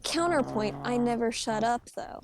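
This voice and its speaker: A young woman streamer with a high voice, chatting casually and rambling, often giggling and restarting her sentences. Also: moderate pace. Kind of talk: casual gaming chat.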